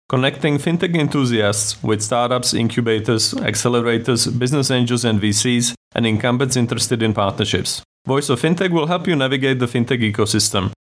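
A man hosting a podcast interview, speaking with hesitant pauses and fillers. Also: mid-range voice. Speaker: podcast host.

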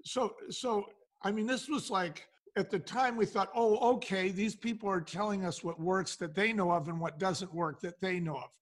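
Older man, American accent, higher pitch, conversational storyteller, acts out quotes. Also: steady tone, moderate pace. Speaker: podcast guest, company co-founder.

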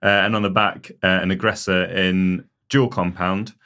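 A man with a very low voice, chatting easily about technical gear. He fills his explanations with loose hedges and casual asides. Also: moderate pace. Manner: relaxed, hedging with "you know, like". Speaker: technical editor, wheel tester.

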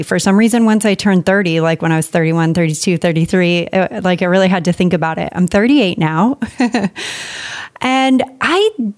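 A woman's voice: on the low side, a little mellow. A woman in her late thirties co-hosting a podcast, chatting casually in an upbeat, enthusiastic way. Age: 30s. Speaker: podcast co-host. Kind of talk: casual chat.

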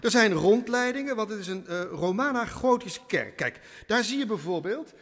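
A lively, high-voiced older villager. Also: male voice, in a large hall, full character voice. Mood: earnest, explaining. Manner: effusive, rambling, drawn-out exclamations. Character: chatty, genial older village man, host of guests. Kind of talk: naturalistic Dutch audio drama dialogue.

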